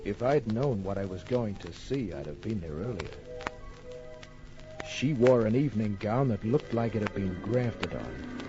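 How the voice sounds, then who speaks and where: An actor playing a wry, tough private detective narrating his case in an old-time radio drama, deadpan and wisecracking. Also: on the low side, unhurried. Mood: wry, smitten. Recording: muffled old radio recording with music.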